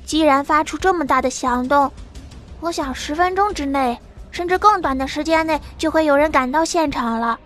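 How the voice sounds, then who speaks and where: An actress playing a sharp, calculating female strategist in an audio drama, wry and analytical. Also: high voice, normal speed, lightly acted. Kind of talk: Chinese audio drama acting. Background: background music.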